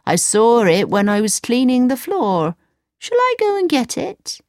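A woman's voice: unhurried, no echo, studio quality. A woman doing an eager young servant girl. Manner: high, excited, eager.

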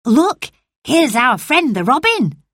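A woman's voice: fairly high pitch, sing-song, measured pace, no echo, clean studio sound, pitched above the performer's own voice. A woman voicing a cheerful, reassuring country boy in a storybook reading. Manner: bright, reassuring and firm. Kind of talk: storybook character voice.

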